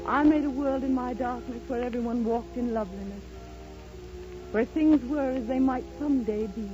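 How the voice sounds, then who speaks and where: An actress playing a blind, wistful, proud dreamer in a 1930s radio drama, speaking with theatrical emotion and deliberate pauses. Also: female voice, fairly high pitch, natural voice. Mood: dreamy, earnest. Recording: old dull 1930s radio recording, music behind.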